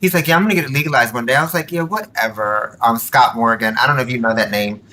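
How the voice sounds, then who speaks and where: A woman speaking as a podcast guest in casual chat, animated and acting out bits of dialogue as she tells anecdotes. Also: extremely low pitch, quick pace, slight background noise. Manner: animated, quotes dialogue while telling anecdotes. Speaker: podcast guest, nonprofit board member.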